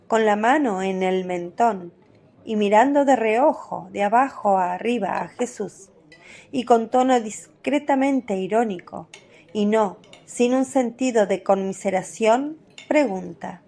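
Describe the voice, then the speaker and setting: Mellow woman narrator, fairly high. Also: measured pace. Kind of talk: scripted dramatic narration.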